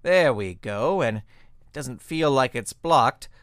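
An actor playing an earnest, plucky young amateur detective in a comic radio mystery, speaking emphatically. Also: male voice, medium pitch, lively intonation, slow, no room sound, studio quality. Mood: hushed investigating.

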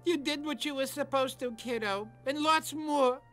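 A high, piercing cartoon creature, an old warrior sidekick. It talks in folksy, grand exclamations, with faint music behind. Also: moderate pace, fairly bright tone. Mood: tender, proud. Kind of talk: animated cartoon voice acting.

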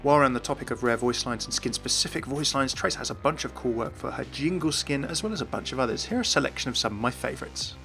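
A man's voice: mid-range voice, lively intonation, fast talker, hardly any pauses, a bit bright, soft background music. A man giving scripted commentary on video game lore as a host.

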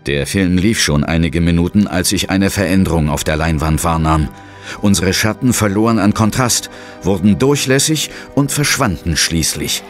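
An actor playing a resolute, dry-witted ghost hunter in an audio drama, speaking and narrating with self-assured drama. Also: male voice, deep voice, normal speed, close to an everyday voice. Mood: determined. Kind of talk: audio drama acting with first-person narration. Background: music.

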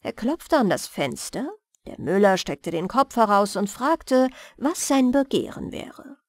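A woman storyteller reading scripted bedtime fairy tales with expressive, careful phrasing. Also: medium pitch, a little slow, no room sound, clean studio sound. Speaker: storyteller, audiobook reader.

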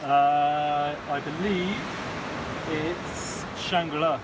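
A man's voice: on the high side, steady tone, very slow, background noise. A man talking casually and hesitantly about climbing routes, with many uhs. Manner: hesitant, filler-heavy. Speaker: climbing partner. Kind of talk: casual chat.

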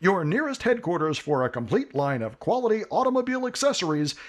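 A man announcing a 1950s-style radio commercial in a brisk, upbeat read. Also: sits high, very animated.

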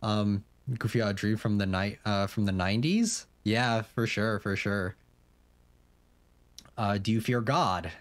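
A man's voice: fairly low pitch, fairly even intonation, unhurried, no room sound. A young man streaming a game, chatting casually and rambling, with lots of fillers and restarts, and reading on-screen questions aloud.

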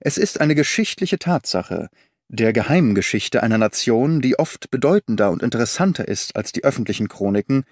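Male audiobook narrator, measured storytelling, mid pitch, dry studio read. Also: expressive, darkish tone, no echo. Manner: measured storytelling reading, shifting tone for quoted characters. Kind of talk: scripted audiobook narration with voiced dialogue.

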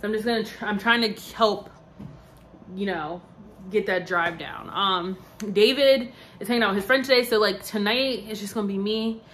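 A woman's voice: mid-range voice, moderate pace, fairly bright tone, slight background noise. A woman in her twenties, talking in a loose, rambling way with plenty of "like" and "um". She sounds worn out, yet she keeps chatting on to her viewers as a vlogger. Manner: chatty, rambling, many fillers and asides. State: tired. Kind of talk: casual chat to camera.

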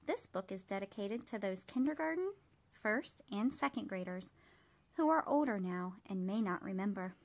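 A woman in her thirties reading a storybook aloud to young children like a kindergarten teacher, measured and expressive. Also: medium pitch.

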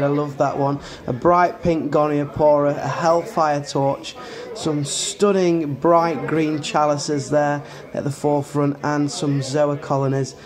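A man in his thirties with a British accent, chatting enthusiastically as host of his own vlog, recorded on a dashboard camera in a moving car. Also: fairly high pitch. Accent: British English. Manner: enthusiastic, chatty, filler-heavy. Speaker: channel host. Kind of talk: casual vlog narration. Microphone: camera mounted on the dashboard.

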